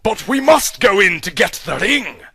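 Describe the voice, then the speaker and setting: A man voicing a bossy old wizard who gives orders loudly and urgently. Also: high-pitched, very animated, moderate pace, voice pushed up, full character voice. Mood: commanding. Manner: loud, commanding, urgent.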